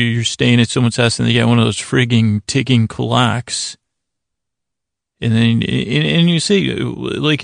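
A man hosting a bedtime podcast in a halting, meandering improvised monologue, whimsical and apologetic, with a gravelly creak in his voice. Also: mid-range voice, dry recording, studio quality. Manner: meandering, halting, trails off into tangents and self-corrections. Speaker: podcast host.

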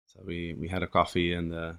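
A man's voice: sits low, monotone, a little fast, gravelly, fairly bright tone, smooth. A man hosting a casual podcast interview, talking loosely with many fillers. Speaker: podcast host. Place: in a room in a city abroad.

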